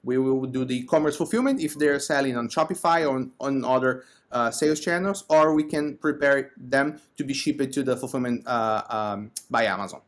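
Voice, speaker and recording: Male interview guest, Brazilian accent, medium pitch, conversational entrepreneur explaining services. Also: moderate pace, hardly any pauses. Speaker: podcast guest, company co-founder.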